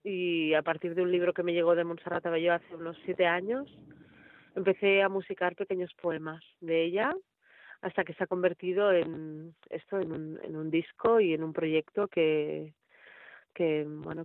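A woman's voice: fairly low pitch, fairly even intonation. Woman on a tinny phone-like line. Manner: thoughtful, hesitant, many pauses and fillers. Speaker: singer, guest. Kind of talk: conversational interview answers.

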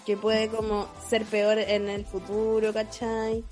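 A woman's voice: medium pitch, monotone, takes it slowly, a little mellow, soft background music. A young adult woman with a Chilean accent and a sore throat, co-hosting a casual, slangy podcast chat over a video call. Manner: informal, slangy. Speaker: podcast host. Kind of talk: casual chat among friends.

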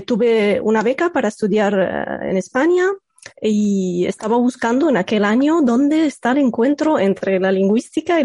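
A woman in her thirties from Egypt, a native Arabic speaker, answering in a relaxed interview with many pauses and restarts. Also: mid-range voice, dry recording, clean studio sound. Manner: hesitant, many fillers and restarts. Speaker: computational linguist guest. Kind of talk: conversational podcast interview.

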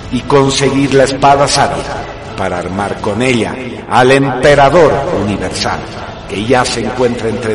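A man narrating a radio drama in a solemn, grandiose scripted style with dramatic emphasis. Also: on the low side. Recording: music behind the voice. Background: music.

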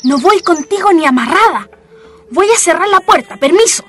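A woman's voice: very high-pitched, animated, normal speed, fairly bright tone, slight background noise. A woman acting as a feisty, exasperated Chilean schoolgirl in a radio drama, scolding and sassy. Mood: annoyed, indignant.

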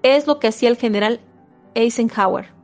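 A woman giving a steady, measured reading of a self-help book, with a few small slips and restarts. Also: on the high side, soft background music. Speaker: audiobook reader.

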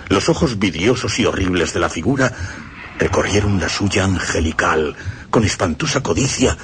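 Deep expressive male radio narrator. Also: normal speed, a little hiss or hum.

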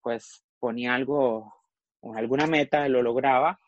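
A man's voice: mid-range voice, fairly even intonation, a little slow, no echo, muffled recording. A man in his forties from Costa Rica, a guest frankly telling his personal story, often trailing off and restarting. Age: 40s.

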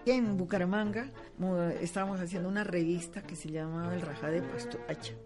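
An older woman with a Colombian accent telling anecdotes as an interviewee, animated, with halting repetitions and acted-out bits of dialogue. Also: on the low side, over music. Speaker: interviewee, veteran radio actress. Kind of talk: spoken reminiscence, anecdote telling.

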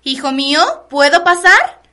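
A woman's voice: sits high, unhurried, pushed and bright. A woman playing a tender, fussing mother of a grown son in a radio soap opera. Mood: doting.

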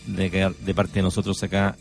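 Male radio host, Chilean accent, low register, effusive formal courtesy, light music underneath. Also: monotone, moderate pace, gravelly. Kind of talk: live radio talk show chat. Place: radio studio. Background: background music.

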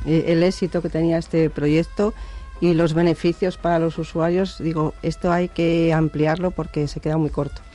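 A woman speaks as a municipal councillor on a radio show. Her pitch stays flat and narrow and her tone is mellow and darkish. She explains things steadily and plainly. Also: normal speed. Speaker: city councillor for family and social services. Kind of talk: radio interview guest.

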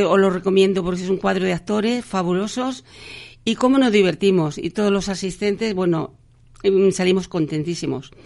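A woman hosting a live radio show, chatting through announcements in a halting way, with frequent restarts and fillers. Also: mid-range voice, gravelly, a little mellow.

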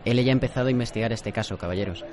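A man's voice: mid-range voice, racing, lightly acted. A man playing a discreet, courteous representative of a secretive detective in an anime radio drama, formal and polite. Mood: composed, polite. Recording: busy crowd murmur behind.

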